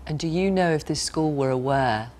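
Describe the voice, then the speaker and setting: A woman conducting a serious news interview with measured, gently probing questions. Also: low-pitched.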